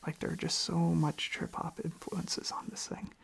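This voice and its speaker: A breathy whisper with a heavy creak at its edges, at a middling pitch. The delivery is sleepy, gentle and casual, an ASMR ramble that circles back over the same phrases. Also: completely flat delivery, normal speed. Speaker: ASMR video creator. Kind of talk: ASMR, softly rambling casual chat while tapping on objects. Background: tapping and clicking on objects.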